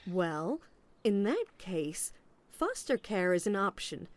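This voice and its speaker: A woman's voice: medium pitch, very animated, slow pace. A woman acting in an audio play as an officious agent, politely relentless and salesy, with veiled sarcasm. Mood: briskly officious.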